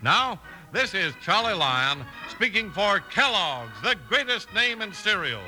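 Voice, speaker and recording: A man's voice: high voice, sing-song, a little slow, pushed and bright. An American man announcing a vintage radio show from a script, with emphatic, showy delivery. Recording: old radio recording over music.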